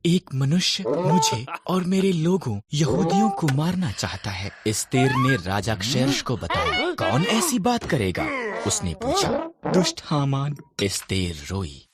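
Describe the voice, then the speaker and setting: A narrator telling scripted Bible stories for children in a deliberate storytelling delivery. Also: medium pitch, wildly swooping pitch, a loud crowd. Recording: background music under the narration. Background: music.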